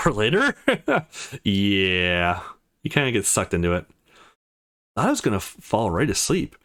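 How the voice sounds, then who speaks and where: Man reading aloud with very animated pitch. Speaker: let's play host. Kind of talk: reading visual novel dialogue aloud.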